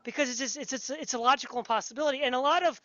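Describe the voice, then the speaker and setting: Woman, higher-pitched, opinionated and emphatic conversational delivery with a pedantic streak; dry, slightly muffled lo-fi sound. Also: racing, fairly bright tone, no echo. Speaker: podcast speaker discussing fantasy. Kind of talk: opinionated casual discussion.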